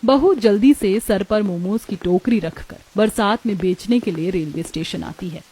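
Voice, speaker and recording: Mellow female story narrator. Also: medium pitch, normal speed, breathy edge. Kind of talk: scripted cartoon story narration.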